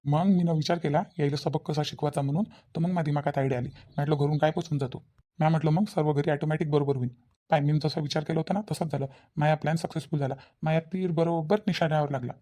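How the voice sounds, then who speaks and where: A man's voice: a little high, fairly even intonation, fast, warm tone, close to an everyday voice. A man voicing a scheming young husband in a village cartoon, self-justifying and repetitive, with a Varhadi Marathi accent. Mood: exasperated, smug. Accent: Varhadi Marathi (Vidarbha). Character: exasperated, scheming newly married young husband.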